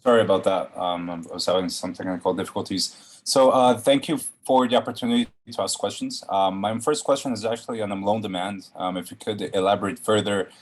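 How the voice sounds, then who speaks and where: A man asking questions as an analyst, hesitant and often pausing mid-phrase. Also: on the low side.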